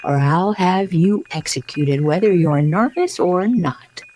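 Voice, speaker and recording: Woman playing a sharp, bossy storybook king. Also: lowish voice, animated, a little slow, slight background noise, pitched above the performer's own voice. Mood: sharp, angry. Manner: sharp, commanding, impatient. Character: irritable, bossy, threatening male king. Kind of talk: storybook character voice.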